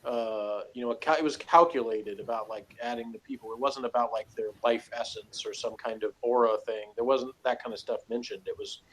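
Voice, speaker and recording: A man's voice: medium pitch, fairly even intonation, a little fast, hardly any pauses, a bit bright, slight background noise, rough recording. A man talking through a TV review in a casual, analytical way, hesitant and rambling as he works out his points. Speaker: co-host reviewing a TV series.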